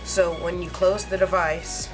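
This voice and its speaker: Hoarse low-voiced woman reviewing gadgets. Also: little pitch movement, music in the background, rough recording. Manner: rambling, halting, many fillers. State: hoarse, under the weather. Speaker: tech reviewer. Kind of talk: casual unboxing review.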